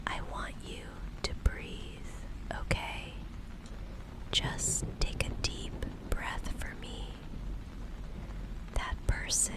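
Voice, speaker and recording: A woman acting as a female private investigator who has grown tenderly obsessed. She speaks entirely in a breathy whisper, very slowly, soothing the listener with possessive care in an intimate ASMR roleplay. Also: rough recording. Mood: confessing, attached. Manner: slow, hushed, soothing reassurance.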